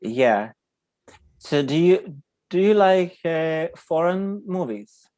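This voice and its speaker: Slow, halting man with a Portuguese accent. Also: a little high, expressive, a little mellow, dry recording. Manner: halting, searching for words, laughs often. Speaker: podcast host. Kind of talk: casual interview.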